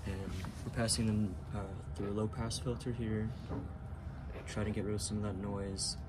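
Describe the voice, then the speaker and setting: A young man in his twenties, a student, explaining his project in a halting way with many uhs. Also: on the low side, little pitch movement, over music. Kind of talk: casual technical explanation. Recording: loud lab room noise.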